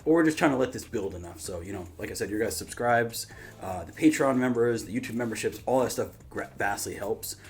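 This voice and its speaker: A man's voice: medium pitch, a little fast. A man hosting a YouTube reaction channel, talking casually in an informal, rambling, slangy way.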